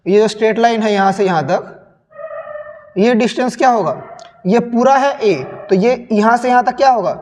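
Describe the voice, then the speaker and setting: A young male physics teacher with a sore, hoarse throat, slowly working through a sample paper and repeating questions to his students. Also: high-pitched, darkish tone. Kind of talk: solving a sample paper on screen. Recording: long reverb.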